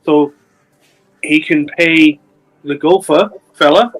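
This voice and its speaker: Smooth-talking man at a game table. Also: fairly high pitch, slow pace, rough recording. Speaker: player. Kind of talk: in-character roleplay talk.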